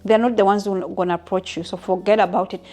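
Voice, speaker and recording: A woman's voice: mid-range voice, fairly even intonation, fast, mellow. Chatty woman in her fifties, from Uganda. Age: 50s. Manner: chatty, frequent restarts and asides to viewers. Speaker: vlogger. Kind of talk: casual Q&A talking to viewers.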